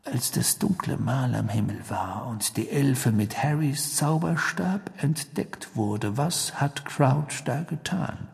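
A brooding man in an audiobook, acted by a male narrator, grave and probing. He speaks slowly and quietly, with a breathy, gravelly huskiness. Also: expressive, big-room sound, exaggerated voice. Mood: suspicious. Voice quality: hushed, breathy, low. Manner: slow, probing questioning.

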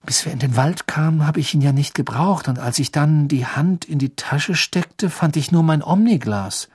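A man's voice: fairly high pitch, normal speed, a little mellow, husky, no echo, clean studio sound, pitched above the performer's own voice. A man voicing an earnest, straightforward teenage boy in an audiobook, giving plain answers. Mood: explaining.

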